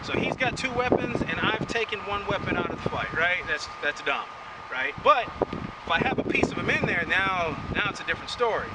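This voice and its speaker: A man's voice: high-pitched, lively intonation, brisk. A man teaching karate outdoors in a chatty way, tagging "right?" onto his points, recorded from a distance with wind and traffic around. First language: English. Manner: chatty, keeps adding "right?". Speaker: karate instructor. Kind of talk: outdoor martial arts lesson. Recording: windy outdoor audio, distant mic. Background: wind and passing vehicles.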